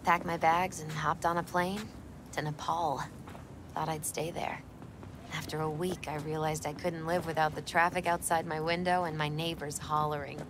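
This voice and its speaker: A low-pitched young woman acted by a woman speaks softly and wistfully, with a resigned, gentle air. The delivery is understated game dialogue over faint background ambience. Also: level tone, normal speed, noisy background, lightly acted. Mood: wry, resigned. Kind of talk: naturalistic video game dialogue acting.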